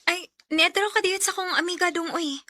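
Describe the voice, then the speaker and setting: A woman's voice: extremely high pitch, steady tone, normal speed, sharp tone, dry recording, clean studio sound. An actress playing a young live-in girlfriend, evasive and easily irritated, with a Cebuano Filipino accent in a radio drama. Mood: irritated, defensive. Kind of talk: Bisaya radio drama acting.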